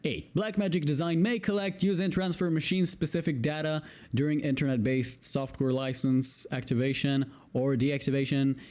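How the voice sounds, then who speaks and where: A man's voice: on the high side, fairly even intonation, moderate pace, a little mellow. Man with a Hebrew accent stumbling through legalese. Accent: Israeli. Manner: stumbling over long words, exasperated swearing asides. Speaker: video creator. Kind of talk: reading a legal text aloud.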